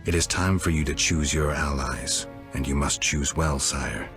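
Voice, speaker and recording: An actor playing a stoic, blunt monster hunter for hire in a video game, speaking in a terse, dry, restrained way. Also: male voice, rumbling low, steady tone, unhurried, a bit bright, faint music behind. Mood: grave.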